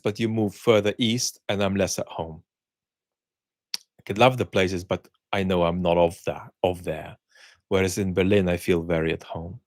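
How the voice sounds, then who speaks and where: A middle-aged man, born in the Soviet Union with Russian as his first language, musing aloud as he answers viewer questions, pausing often with ums. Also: lowish voice, no room sound, clean studio sound. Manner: measured, thinking aloud with many ums and pauses. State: unwell, speaking while cared for. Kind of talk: reflective solo chat.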